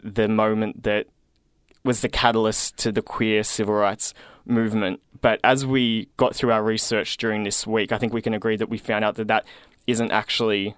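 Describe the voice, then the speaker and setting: A man in his twenties with an Australian accent hosting a community radio show in a studio, upbeat and quick. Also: on the low side, level tone, a bit bright, smooth, dry recording. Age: 20s. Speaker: radio host.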